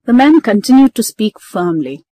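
A woman's voice: a little high, big pitch swings, normal speed, warm tone, no echo. A woman narrating a scripted children's story.

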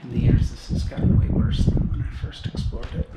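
A young man narrating casually as he walks through an abandoned building, pointing things out as he goes. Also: brisk. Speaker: urban explorer host. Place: large abandoned building, indoors, echoey. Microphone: body-worn action camera. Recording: echoey empty rooms, noisy background.

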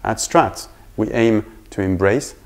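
A man in his forties with a low voice and a gravelly creak. He delivers a scripted presentation to camera as a design department head, recorded indoors on a lapel mic. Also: slow. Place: indoor room with bookshelves.

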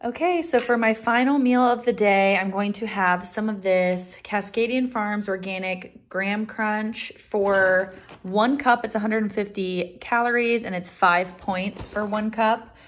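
A woman's voice: medium pitch, unhurried. A young woman chatting casually to viewers in an upbeat vlog, talking while she eats in her home kitchen. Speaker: vlogger.

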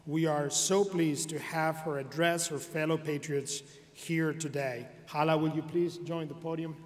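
A middle-aged man at a podium microphone giving a formal introduction at a commencement ceremony, pausing often with fillers. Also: a little high, steady tone, a little hiss or hum. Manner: formal, halting with frequent fillers. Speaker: university official introducing the commencement speaker. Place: large arena commencement ceremony, indoors. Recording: long reverb of a large hall.

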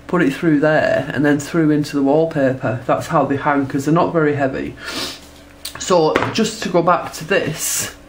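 A woman's voice: sits low, a little hiss or hum. A woman with a cold, chatting casually as she demonstrates her textile art and sketches, often asking tag questions. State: congested from a cold. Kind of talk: casual tutorial narration while drawing. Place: indoors at a table.

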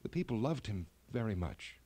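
A man voicing a gentle, motherly queen in a storybook, speaking reflectively. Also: fairly low pitch, very animated, moderate pace, no room sound, pitched below the performer's own voice. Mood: sad. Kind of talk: storybook character acting.

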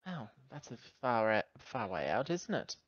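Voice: medium pitch, very animated, measured pace, dry recording, muffled recording, pitched below the performer's own voice. A player voicing a wry, argumentative woman who is acting captain of a spaceship in a tabletop game, informal and sarcastic.

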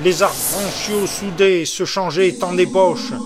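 Homme à voix assez aiguë, déclamant lentement. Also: male voice, high-pitched, steady tone. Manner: measured, declamatory. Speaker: reciter of an epic poem. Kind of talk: solemn poetic declamation. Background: music.

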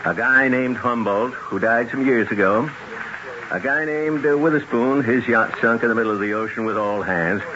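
An actor playing an irritated, talkative gun dealer with brash, slangy speech in a 1970s radio drama. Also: male voice, medium pitch, moderate pace, close to an everyday voice. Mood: brash, boastful. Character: irritated, talkative gun shop owner, a man. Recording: muffled, noisy 1970s radio recording.

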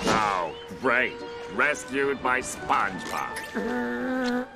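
A man's voice: medium pitch, wildly swooping pitch, drawn-out words, vocal fry, loud music behind the voice, heavily acted. An actor voicing a grouchy, whiny male octopus with a nasal, sardonic drone in a cartoon game. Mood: sour, complaining. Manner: droning, sardonic.

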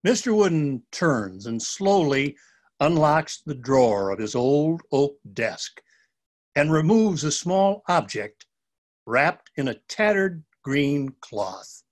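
Slow, expressive older male storyteller. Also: a little high. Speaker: author and storybook narrator. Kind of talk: scripted children's story narration.

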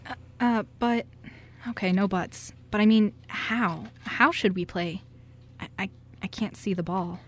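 A woman's voice: mid-range voice, measured pace, pitched below the performer's own voice, close to an everyday voice. A woman acting a timid, eager seven-year-old girl in an amateur radio play, with a hesitant, stammering manner.

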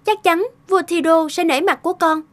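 A woman's voice: very high-pitched, fairly even intonation, normal speed. A woman voicing a brave, earnest young princess in a fairy-tale cartoon, speaking in a formal, resolute way.

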